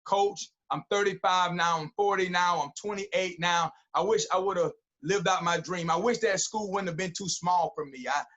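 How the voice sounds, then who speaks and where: Man, forties, high bright voice, motivational coach, preacherly, rhythmic repetition, direct exhortation. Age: 40s. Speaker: guest, football coach and motivational speaker. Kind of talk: motivational pep talk.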